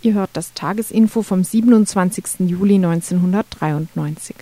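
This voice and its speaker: A woman's voice: on the low side, brisk, dark tone, dry recording. A woman reads a scripted program announcement as a radio announcer.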